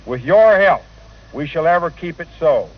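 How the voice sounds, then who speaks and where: An American man giving a formal acceptance speech on 1940 radio in a deliberate, declamatory manner. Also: fairly high pitch, lively intonation. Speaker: broadcasting association president. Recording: dull, lo-fi 1940 radio broadcast.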